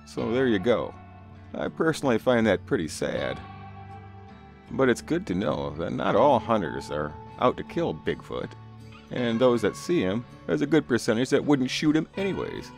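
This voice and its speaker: A man's voice: mid-range voice, sing-song, normal speed, vocal fry. A middle-aged man narrating a first-person spooky story in a conversational, folksy way. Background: music.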